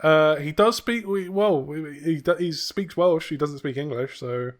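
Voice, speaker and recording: A man's voice: fairly high pitch, unhurried. A young man co-hosting a podcast, recapping a sitcom in loose, rambling casual chat, swearing and laughing often. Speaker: podcast co-host.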